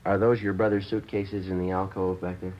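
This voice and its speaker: An actor playing a terse, businesslike police sergeant in a 1950s radio crime drama, with an American accent and a deadpan, clipped manner. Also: male voice, deep voice, brisk, background noise, natural voice. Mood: calm, probing. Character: terse, matter-of-fact male police sergeant detective. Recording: dull vintage radio recording with music.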